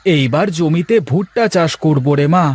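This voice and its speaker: A man voicing a kindly, hardworking village farmer and doting father in a moral-story cartoon, speaking gently and affectionately. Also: a little high, normal speed, no room sound, lightly acted.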